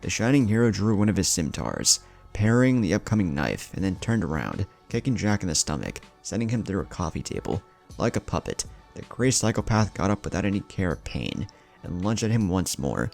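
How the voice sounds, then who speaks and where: Low-pitched man narrating, lightly creaky. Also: sing-song, a little fast, a little mellow, faint music behind. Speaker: story narrator. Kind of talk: scripted fan fiction narration.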